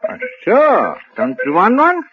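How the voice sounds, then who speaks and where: High-voiced, blunt old pawnbroker. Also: male voice, wildly swooping pitch, measured pace, noisy background, heavily acted. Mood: businesslike. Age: older. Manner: blunt, colloquial, businesslike. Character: old male pawnbroker, matter-of-fact and impatient. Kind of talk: old-time radio drama acting. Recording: muffled vintage radio recording with music.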